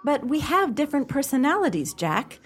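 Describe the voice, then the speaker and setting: Fiery, high-pitched young woman. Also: very animated, moderate pace. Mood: exasperated, insistent. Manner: exasperated, forthright. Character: fiery, blunt young woman rebuffing her friend. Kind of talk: radio play acting.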